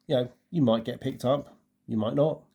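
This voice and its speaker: A man's voice: medium pitch, normal speed, gravelly, dark tone, slightly rough. A man co-hosting a casual travel review, offering tips and often repeating words as he feels his way. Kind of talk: casual chat, giving tips.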